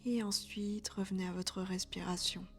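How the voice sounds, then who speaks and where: A woman leading a guided relaxation as a yoga instructor, speaking softly and calmly with breathy, near-whispered phrases. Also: mid-range voice, normal speed. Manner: soft, calm, soothing delivery with breathy, near-whispered phrases. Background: background music.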